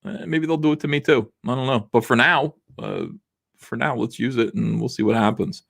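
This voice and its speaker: Man with a voice going rough. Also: mid-range voice, very animated, normal speed, a bit bright. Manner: rambling, casual, lots of fillers. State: losing his voice. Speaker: livestream host. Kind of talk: casual livestream chat reading super chats.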